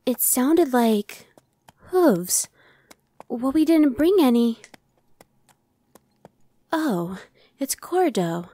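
A woman's voice: sits high, sing-song, extremely slow, pauses often, mellow, no room sound, exaggerated voice. Woman voicing a casual demon scout, dry close sound. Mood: casual, resigned. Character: young female demon scout Evanescence, casual, dry-witted, inwardly brooding. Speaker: voice actor. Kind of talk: ASMR roleplay audio drama.

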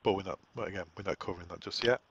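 A man giving an informal software setup walk-through, explaining conversationally and thinking aloud as he goes. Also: deep voice, rapid-fire, breathy edge. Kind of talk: informal software tutorial narration. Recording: dull, lo-fi, dry close recording.

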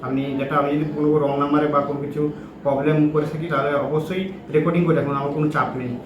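A man's voice: fairly high pitch, little pitch movement, racing. A young man making an insistent, repetitive phone call in casual confrontational conversation. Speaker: prank caller. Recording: echoey room with background noise.

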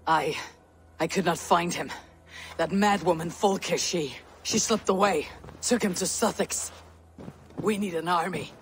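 A woman's voice: lowish voice, measured pace, long pauses, slight background noise, natural voice. A woman playing a grim, determined Viking warrior in a video game, speaking in a terse, commanding, grave way. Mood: distressed, grim. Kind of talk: dramatic video game cutscene acting. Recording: game music behind the voice.

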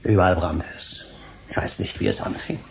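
An actor playing a troubled, grave and desperate scientist with a gravelly voice in a German radio drama. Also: male voice, sits low, normal speed, a little hiss or hum, close to an everyday voice. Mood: haunted, subdued.

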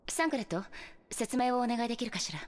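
A woman voicing a calm young leader of an adventurers' order in a Japanese video game, measured and explanatory. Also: a little high, close to an everyday voice. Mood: composed, businesslike.

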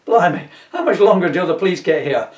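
Man acting out a loud, irritable electric villain. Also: high voice, expressive, a little fast, echoey room, distant mic, pitched above the performer's own voice. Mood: exasperated yelling. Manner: snappy, growling, yelling. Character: villain Electrode, irritable, yelling human light bulb.

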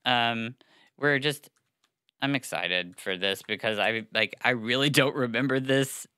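A man's voice: mid-range voice, a little slow, pushed and bright, smooth, no echo. A young man co-hosting a podcast, chatting casually about a book with many fillers and restarts, on a studio mic. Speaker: podcast co-host. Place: small studio, seated. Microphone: studio mic on boom arm.

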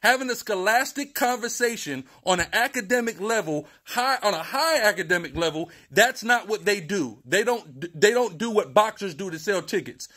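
A man's voice: high-pitched, expressive, moderate pace, hardly any pauses, pushed and bright. A man in a heated phone argument, talking in an insistent, repetitive, lecturing way. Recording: leaked phone call audio.

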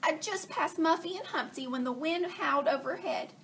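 A woman voicing an anxious, fussy hen, with a high, excitable, flustered manner. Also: normal speed, a little hiss or hum. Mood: nervous. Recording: distant from the mic.

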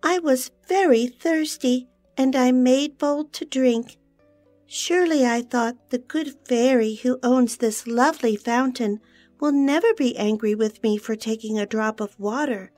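A woman's voice: high-pitched, unhurried, darkish tone, faint music behind, pitched above the performer's own voice. A woman voicing a small, timid female wren who speaks meekly and pleadingly. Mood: humble. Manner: meek and pleading.

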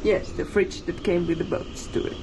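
A woman's voice: fairly low pitch, steady tone, normal speed. Chatty young woman in a noisy boat cabin. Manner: chatty, laughs easily, explains as she shows. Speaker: boat renovation vlogger. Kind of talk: casual vlog chat. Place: inside a boat cabin under renovation. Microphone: handheld wide-angle camera at arm's length. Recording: noisy boat workshop ambience.

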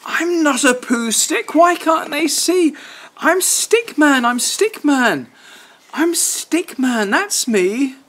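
A man's voice: very high-pitched, expressive, slow, fairly bright tone, voice pushed up, full character voice. A man voicing an indignant, pleading little stick creature in a children's storybook, chanting plaintive protests.

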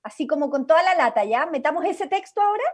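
A woman's voice: high-pitched, expressive, fast. A woman with a Chilean accent directing an improvised drama workshop over a video call, encouraging and guiding. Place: indoors at home, on a video call.

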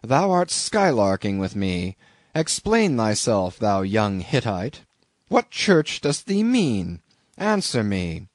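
A man's voice: fairly low pitch, big pitch swings, slow, dry recording. A man voicing a stern, pious old Quaker sea captain with a hollow voice, severe and preachy in archaic speech.

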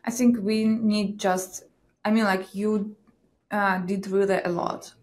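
A woman's voice: medium pitch, level tone, slow pace, darkish tone. A woman in her thirties with a Ukrainian accent, a guest doctor in an interview, speaking hesitantly and searching for words at a desk podcast mic in a small studio. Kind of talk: interview conversation. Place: small studio room with a banner.

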